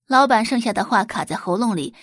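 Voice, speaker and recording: A woman's voice: fairly high pitch, expressive, fairly quick, slightly rough, no echo, clean studio sound. A woman reading scripted, dramatic story narration for an audio drama.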